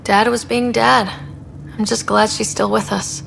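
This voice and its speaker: A woman's voice: fairly high pitch, flat delivery, measured pace, vocal fry, fairly bright tone, a little hiss or hum, heavily acted, rough recording. A woman playing an earnest young space explorer in a video game, talking in a conversational way. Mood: relieved. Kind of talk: scripted game dialogue.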